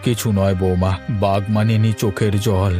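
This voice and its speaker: A man's voice: on the low side, flat delivery, measured pace, dark tone, soft background music, lightly acted. A man voicing a weary, resigned elderly father-in-law in cartoon dialogue. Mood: sorrowful, resigned.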